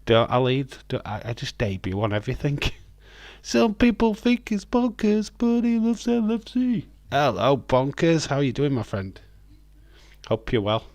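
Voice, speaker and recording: A man with a slightly croaky voice hosting a live stream, chatting in a rambling, friendly way with lots of hesitant fillers. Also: medium pitch, big pitch swings, a little slow, a little mellow, no room sound. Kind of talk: casual live watch-along chat, reading viewer comments.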